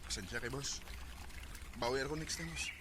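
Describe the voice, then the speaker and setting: A man's voice: mid-range voice, moderate pace, heavy background noise, close to an everyday voice. An actor with a Cebuano Filipino accent playing an easygoing, apologetic young man in a radio drama.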